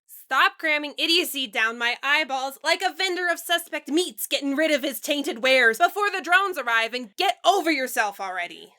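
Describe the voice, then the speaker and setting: Cranky, abrasive young male alien for audio drama, played by a woman, high-pitched, forceful, exasperated. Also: lively intonation, moderate pace. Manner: exasperated, verbose insults.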